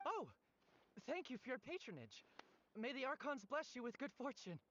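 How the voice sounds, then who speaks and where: A woman's voice: high voice, very animated, normal speed, a bit bright, slight background noise. A woman voicing a flustered young newspaper seller who is polite, nervous and rambling. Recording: dull game audio with music.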